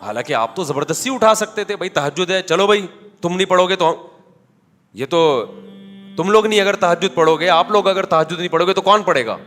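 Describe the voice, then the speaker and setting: A man preaching in a conversational, animated way, telling anecdotes with quoted dialogue and rhetorical questions. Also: high-pitched, fairly quick, long reverb tail, faint music behind. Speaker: Islamic preacher. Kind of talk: conversational religious talk.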